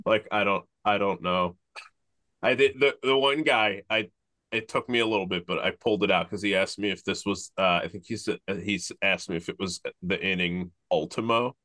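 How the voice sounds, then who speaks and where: Low voiced cohost dude, pokes fun at himself, dry close sound. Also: male voice, moderate pace, pushed and bright, no room sound. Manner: self-deprecating, laughs at himself. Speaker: podcast co-host. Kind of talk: casual podcast chat.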